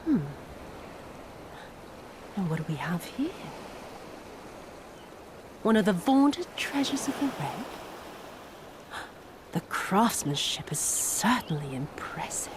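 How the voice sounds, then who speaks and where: A woman's voice: mid-range voice, big pitch swings, slow pace, pauses often, noisy background. A woman playing a cruel, sadistic and haughty imperial overlord in a video game, speaking with theatrical contempt and drawling menace. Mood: bored, sadistic. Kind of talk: dramatic video game voice acting. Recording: loud music behind the voice.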